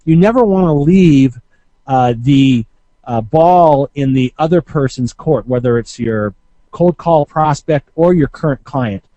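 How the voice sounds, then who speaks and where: Mellow-voiced man giving informal coaching. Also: a little high, no echo. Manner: halting, conversational, with frequent hesitations and self-corrections. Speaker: marketing coach and Q&A host. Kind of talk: informal instructional talk.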